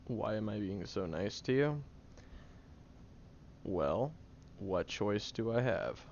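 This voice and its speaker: A man's voice: on the low side, expressive, slow pace, vocal fry, full character voice. A man playing a sarcastic, exasperated tsundere young man who grudgingly looks after someone in an audio roleplay, speaking straight to the listener. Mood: grudgingly caring. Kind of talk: audio roleplay acting, spoken directly to the listener. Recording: dull lo-fi audio with faint noise.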